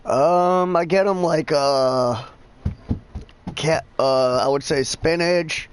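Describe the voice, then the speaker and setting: A man's voice: on the high side, slow pace. A man in his thirties chatting casually while streaming a game, rambling, profane and exasperated. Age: 30s. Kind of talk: casual chat.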